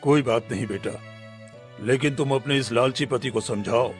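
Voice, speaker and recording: A man's voice: medium pitch, normal speed, faint music behind, lightly acted. A man playing a middle-aged village headman, an authoritative father of the bride, in a Hindi cartoon story. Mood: forgiving.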